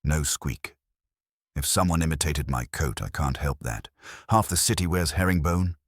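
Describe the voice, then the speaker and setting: A man voicing a defiant, composed woman suspect who speaks in even, clipped denials in an audiobook. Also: rumbling low, normal speed, breathy edge, dry recording, clean studio sound, heavily acted. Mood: cool defiance.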